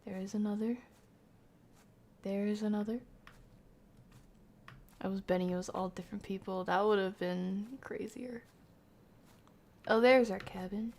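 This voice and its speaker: A woman doing casual live commentary on a horror game, talking to chat in slang and thinking out loud as she reacts. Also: mid-range voice, fairly even intonation, unhurried, vocal fry, long pauses. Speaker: game streamer.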